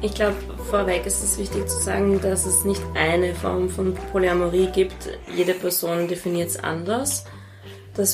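Young female guest, lowish gravelly voice, flat intonation, explaining thoughtfully. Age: young adult. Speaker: interview guest. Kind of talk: casual explanatory interview talk.

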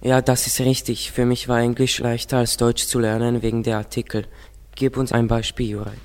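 A man's voice: mid-range voice, little pitch movement, moderate pace, darkish tone. A young man speaking as a student presenter in a school radio discussion. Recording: long echoing hall reverb.

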